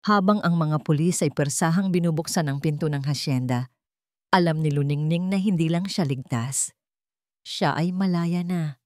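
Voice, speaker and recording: A woman narrating a scripted romantic drama story in a dramatic, measured storytelling manner with emphatic short phrases. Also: deep voice, a little mellow, dry recording, studio quality.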